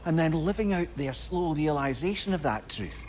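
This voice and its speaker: An actor playing a wry, self-doubting older advisor in a video game, speaking in a wordy, lyrical way. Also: male voice, on the high side, normal speed, slight background noise, close to an everyday voice. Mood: regretful. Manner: wordy, lyrical, expressive storytelling. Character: wry, eloquent, self-doubting older male advisor, warm with his friend. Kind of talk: dramatic video game acting.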